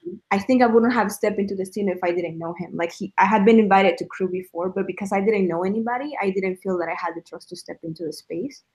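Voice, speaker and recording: A woman's voice: mid-range voice, quick pace, darkish tone, low-quality recording. Young woman, earnest reflective guest with a mid-range voice. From El Salvador. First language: Spanish. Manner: earnest, reflective, with frequent fillers. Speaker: guest sharing a faith story. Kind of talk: casual testimony chat.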